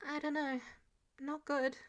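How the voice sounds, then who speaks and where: Woman voicing an anxious, guilt-ridden teenage boy, high-pitched. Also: level tone, slow. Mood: guilty, subdued. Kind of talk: character dialogue in fan fiction reading.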